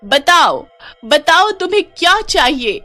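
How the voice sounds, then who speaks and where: A woman voicing a friendly, powerful ghost kite with dramatic, drawn-out delivery in a storybook tale. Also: very high-pitched, sing-song, sharp tone, dry recording, voice pushed up, exaggerated voice. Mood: triumphant. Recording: music behind the voice.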